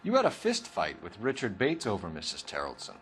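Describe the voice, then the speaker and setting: A stern homicide detective from a 1940s crime game. He questions in clipped, formal phrases with a very mobile pitch, pressing and accusing. Music plays softly behind him. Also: wildly swooping pitch, a little fast, full character voice. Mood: stern, probing. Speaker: police detective. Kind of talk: video game cutscene interrogation acting.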